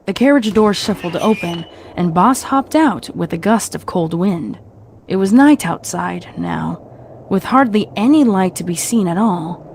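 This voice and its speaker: A woman's voice: mid-range voice, animated, a little slow, vocal fry, a little mellow, a little hiss or hum. A woman giving a dramatic, scripted narration of a horror story.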